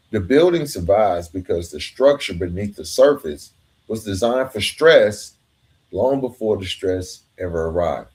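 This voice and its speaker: A man with a fairly low voice speaks as a motivational podcast host. He delivers prepared lines deliberately and with emphasis, addressing the listener directly. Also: darkish tone. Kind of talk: scripted motivational monologue.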